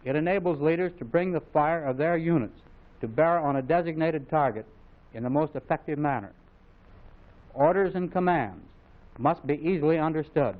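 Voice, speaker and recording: Tinny vintage training-film narrator, American man. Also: fairly high pitch. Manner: formal, authoritative, measured delivery. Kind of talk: scripted mid-century instructional film narration. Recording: phone-like vintage film soundtrack, narrow band.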